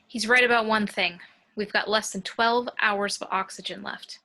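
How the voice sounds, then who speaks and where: Woman as bright, determined spaceship officer. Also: medium pitch, fairly even intonation, moderate pace, lightly acted. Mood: resolute. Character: determined female spaceship warrant officer, resolute, practical. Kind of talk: amateur screenplay table read.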